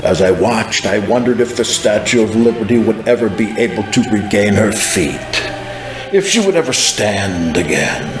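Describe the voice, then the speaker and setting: Guy narrating a religious vision, super dramatic, pitch swoops around, echoey w music behind. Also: lively intonation, moderate pace. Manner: dramatic, solemn storytelling. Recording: echoing, clear music behind.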